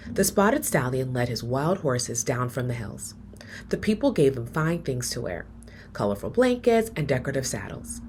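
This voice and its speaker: A woman reading a children's storybook aloud in an expressive, measured storytelling manner, letting her phrases end softly. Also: very deep voice, slight background noise. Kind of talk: storybook read-aloud, scripted narration.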